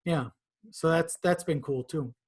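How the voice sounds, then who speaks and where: A man's voice: a little high, a little slow, breathy edge. A man speaking informally as a podcast guest, in a casual conversation peppered with swearing. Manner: informal, profane.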